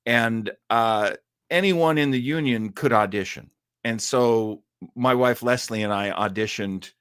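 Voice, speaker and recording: A man's voice: on the low side, a bit bright, no echo, studio quality. A man hosting a live show about old-time radio, talking casually to listeners in a hesitant, rambling, conversational way.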